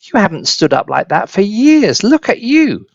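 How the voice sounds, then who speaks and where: Man voicing an excitable young boy, raised pitch. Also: moderate pace, gravelly, dry recording, muffled recording, heavily acted. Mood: excited amazement. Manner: eager, exclamatory. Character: excitable, cheeky young boy, amazed grandson.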